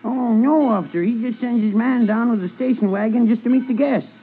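High-voiced folksy old country fellow. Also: male voice, a little fast, phone-quality sound, soft background music. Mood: folksy, obliging. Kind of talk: 1950s radio drama acting. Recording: thin, noisy 1950s radio recording.

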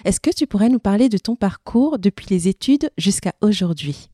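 Woman, podcast host, mellow tone, enthusiastic, encouraging, dry close recording. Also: mid-range voice, animated, moderate pace, dry recording. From Belgium. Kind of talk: podcast interview.

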